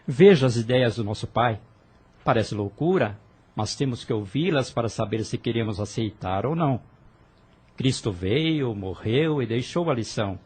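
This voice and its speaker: Guy doing a fired-up young rebel brother, husky voice, pushy and earnest, audio drama. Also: medium pitch, expressive, moderate pace, darkish tone. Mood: persuasive. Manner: fervent, persuasive, earnest.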